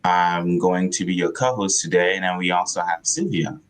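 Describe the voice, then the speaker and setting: A man's voice: deep voice, normal speed. A man co-hosting a live online talk in a loose, chatty way with plenty of fillers. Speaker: co-host. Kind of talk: casual live-stream hosting.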